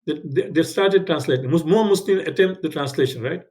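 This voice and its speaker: A man's voice: fairly high pitch, brisk, a little mellow. A man from Tanzania, whose first language is not English, teaching an online class in a conversational way, often checking in with "right?" and correcting himself as he goes. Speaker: instructor. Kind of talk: online lecture. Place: home in Canada.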